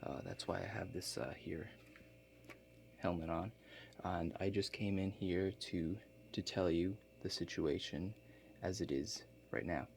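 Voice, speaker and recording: Guy doing a chill contractor for asmr roleplay, really deep voice, soft and halting, matter of fact, bit of background noise. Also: steady tone, vocal fry. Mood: calm, matter-of-fact. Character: Chuck, laid-back male construction contractor. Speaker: ASMR role-play performer. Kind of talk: ASMR role-play, soft-spoken.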